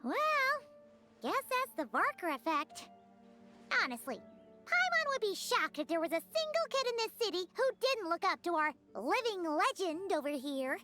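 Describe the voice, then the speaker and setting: A woman voicing a tiny, chatty, childlike companion sprite in a video game, squeaky and perky. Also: big pitch swings, moderate pace, pushed and bright, soft background music, full character voice. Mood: admiring, chatty. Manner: perky, refers to self in third person.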